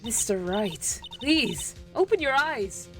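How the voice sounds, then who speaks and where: A woman's voice: fairly high pitch, animated, slow pace, a bit bright. A woman playing a scornful, insistent young female defense lawyer in an amateur video game dub, with music behind.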